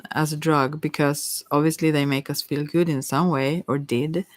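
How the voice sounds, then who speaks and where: Someone doing an asmr tarot reading, mid pitched voice, rambly and hesitant, really close dry sound. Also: fairly even intonation, normal speed, dry recording. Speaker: tarot card reader.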